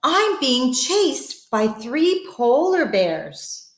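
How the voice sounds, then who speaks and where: A woman's voice: high-pitched, big pitch swings, very slow, reverberant, exaggerated voice. A woman voicing a small frightened rabbit in a children's storybook, pleading urgently for help. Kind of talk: children's storybook read aloud.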